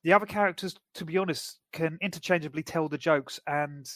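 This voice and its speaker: A man co-hosting a podcast, chatting casually and explaining plots in a measured way. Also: a little high, a bit bright, dry recording. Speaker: podcast co-host. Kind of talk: casual chat.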